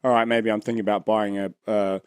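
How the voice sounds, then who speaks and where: A man's voice: lowish voice, flat delivery, fast talker, smooth, dry recording. A man co-hosting a podcast in an easygoing way, steering the discussion along. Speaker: podcast co-host. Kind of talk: casual chat.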